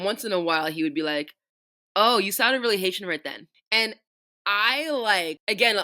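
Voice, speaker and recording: Podcast co-host: young Canadian woman, bright low-ish voice, impassioned and profane. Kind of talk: casual podcast chat.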